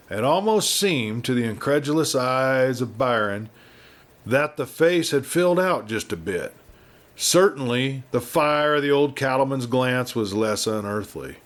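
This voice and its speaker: Male audiobook narrator for western fiction. Mid-pitched, measured, dramatic storytelling read. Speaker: audiobook reader. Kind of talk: scripted western novel narration.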